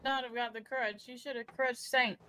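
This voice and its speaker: Woman, fairly high pitch, casual chat, gaming session. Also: steady tone, normal speed, pushed and bright, a little hiss or hum, poor audio. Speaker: roleplay game player.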